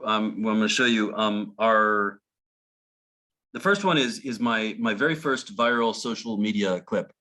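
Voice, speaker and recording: A middle-aged man speaking as a guest at a club meeting, telling anecdotes in a halting way with frequent restarts and chuckles. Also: on the low side, pushed and bright. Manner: halting, full of restarts and laughs. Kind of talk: casual talk.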